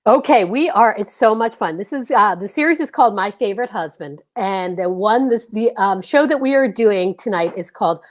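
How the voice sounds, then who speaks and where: A woman from Philadelphia hosting a casual video call show, excitable and gushing as she talks. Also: medium pitch, normal speed, few pauses. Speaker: host.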